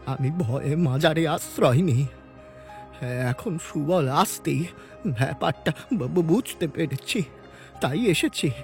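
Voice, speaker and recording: A man's voice: on the high side, vocal fry, faint music behind. An actor playing a frightened young village man who stammers as he pleads. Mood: fearful, desperate. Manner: stammering, halting.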